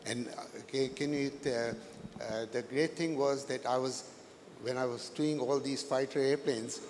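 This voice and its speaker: Older male guest speaker from Pakistan, halting and searching for words, mid-pitched voice, reverberant hall sound. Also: fairly even intonation, darkish tone. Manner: hesitant, many fillers and restarts. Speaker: guest speaker, retired pilot. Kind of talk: halting live stage interview. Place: large event hall. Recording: long hall reverb, faint noise.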